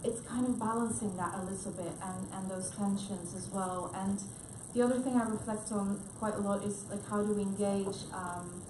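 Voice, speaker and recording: A woman's voice: medium pitch, fairly even intonation, normal speed, few pauses. A woman speaking as a researcher on a panel, thoughtful and hesitant, with frequent restarts. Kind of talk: panel discussion remarks. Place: conference room, panel event. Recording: echoey room with noise.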